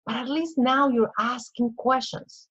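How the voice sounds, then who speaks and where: A woman's voice: high voice, steady tone, unhurried, fairly bright tone, breathy edge, dull audio. A woman from Peru with a Peruvian Spanish accent, speaking earnestly as a guest coach in a casual interview and acting out little inner voices. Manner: earnest, repeats phrases, acts out inner voices.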